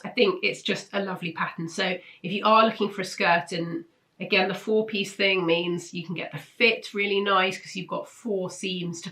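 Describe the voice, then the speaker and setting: Nasal British woman, bright and chatty. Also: lowish voice. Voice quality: nasal, congested. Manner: chatty, informal, enthusiastic. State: ill with flu, blocked nose. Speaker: host of a weekly sewing pattern roundup. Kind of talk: casual chat review to camera.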